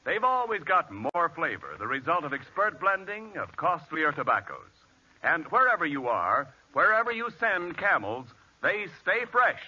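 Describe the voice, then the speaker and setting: Forceful, animated American radio announcer man. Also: a little high, breathy edge. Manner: emphatic, declamatory. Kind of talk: 1940s dramatic advertising announcing. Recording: lo-fi, muffled 1940s radio recording.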